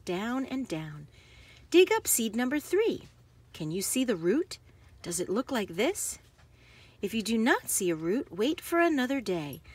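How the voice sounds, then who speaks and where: A woman narrates a picture book for young children in a slightly husky, medium-pitched voice. Her pace is unhurried and carefully phrased, and her intonation rises and falls expressively.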